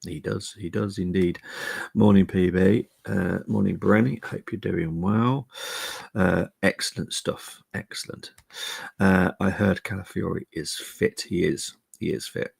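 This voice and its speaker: A middle-aged man hosting a live football preview stream, speaking quietly while chatting casually with viewers. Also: low-pitched, steady tone, a little slow, dry recording. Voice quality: gravelly. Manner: keeping voice down, casual stats chat with viewers. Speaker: fan channel host.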